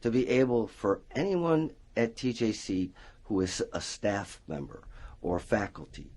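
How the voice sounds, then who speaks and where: A man, a college speech professor, explaining a program in an interview with animated enthusiasm. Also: lowish voice, measured pace. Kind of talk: interview answers.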